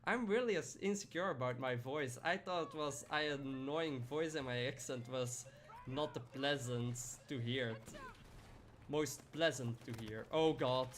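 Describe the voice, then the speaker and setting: A man's voice: medium pitch, measured pace, a little hiss or hum. A young man chatting casually on a live stream while gaming, rambling through stories and answering chat by name.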